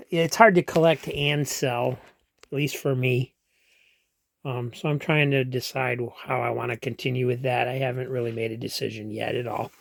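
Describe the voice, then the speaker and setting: A man casually walking through his comic collection room, rambling and explaining with frequent ums and restarts, a bit hoarse as he's losing his voice. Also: medium pitch, no echo. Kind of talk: casual walk-through vlog.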